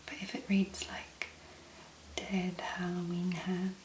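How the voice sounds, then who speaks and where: A woman speaking softly and breathily in an ASMR make-up tutorial, gently musing in a hesitant, unhurried way. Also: on the low side, completely flat delivery, reverberant, a little hiss or hum. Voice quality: soft, breathy, mostly whispered. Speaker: ASMR content creator, make-up demonstrator.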